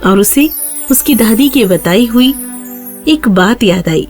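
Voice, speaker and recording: A woman's voice: fairly high pitch, animated, darkish tone, soft background music. A woman narrator reading a scripted children's fairy tale in a storytelling style.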